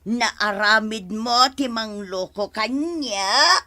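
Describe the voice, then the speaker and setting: A woman's voice: on the high side, slow, noisy background. A woman with a Filipino accent acting an angry, suspicious village wife in an Ilocano barangay comedy drama. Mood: furious. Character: angry suspicious village wife confronting her cheating husband.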